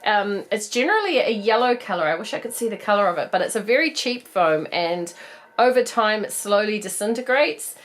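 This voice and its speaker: A woman in her thirties with a New Zealand accent, croaky from a cold, chatting bubbly through a casual doll unboxing review. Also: medium pitch, lively intonation, a little fast. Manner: chatty, bubbly, laughs often. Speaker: doll collector vlogger.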